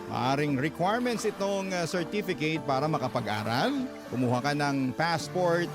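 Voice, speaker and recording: High-pitched Filipino male radio storyteller over music. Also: lively intonation, normal speed. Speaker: radio host reading listener letters. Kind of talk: dramatic radio storytelling narration. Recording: music bed behind the voice. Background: background music.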